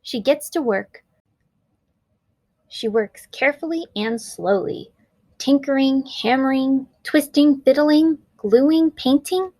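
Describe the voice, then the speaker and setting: A woman reading a children's picture book aloud, expressive and deliberate, putting emphasis on the action words. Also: sits high, no room sound, poor audio. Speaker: read-aloud teacher.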